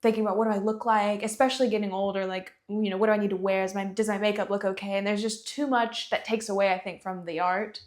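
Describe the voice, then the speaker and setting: A woman's voice: medium pitch, fairly even intonation, fast, hardly any pauses. A young woman from Georgia, a singer-songwriter chatting casually as an interview guest, laughing often and filling with "you know". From Athens, Georgia.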